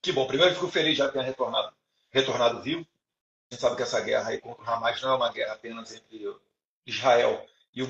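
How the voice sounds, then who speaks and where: Man with a gravelly voice in a roomy space. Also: mid-range voice, fairly bright tone. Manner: conversational, many fillers and repeated words. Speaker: live stream host. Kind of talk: live stream interview. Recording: echoey room.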